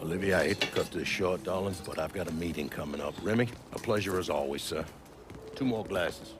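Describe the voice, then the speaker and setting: An actor playing a middle-aged, foul-mouthed Southern mob boss in a video game, folksy, emphatic and persuasive, with a New Orleans accent. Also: male voice, low-pitched, a little fast, lightly acted. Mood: genial dismissal. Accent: American South, New Orleans. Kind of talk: cinematic video game acting. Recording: background music under dialogue.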